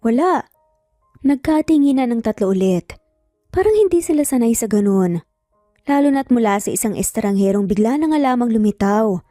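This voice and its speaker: Filipino female narrator for dramatic story, gentle dark timbre, very dry recording. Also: on the high side, expressive, brisk, no echo, faint music behind.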